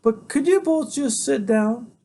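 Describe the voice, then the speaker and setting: A man's voice: very high-pitched, expressive, unhurried, creaky, warm tone, pitched above the performer's own voice, exaggerated voice. A man voicing a new, flustered woman teacher who speaks in a prim, polite way.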